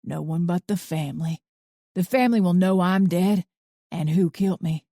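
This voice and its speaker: A woman voicing a bitter, mean old man in his eighties who sneers and talks in rough country grammar. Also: lowish voice, normal speed, mellow, dry recording, studio quality.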